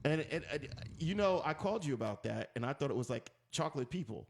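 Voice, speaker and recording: Animated man bantering on a talk show. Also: on the high side, fast talker, slight background noise. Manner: animated, profane, teasing. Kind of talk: casual bantering chat.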